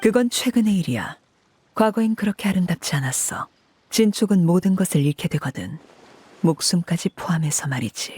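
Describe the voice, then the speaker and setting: A woman voicing a cool, composed matriarch in an anime game, speaking in a measured, formal and aloof manner. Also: fairly low pitch, expressive, a little mellow, husky. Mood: stern, dry. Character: cool, commanding woman, composed head of an orphanage family.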